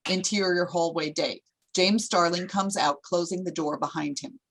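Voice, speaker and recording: A woman's voice: lowish voice, fairly even intonation, normal speed, fairly bright tone. A woman reading out screenplay stage directions as scripted narration.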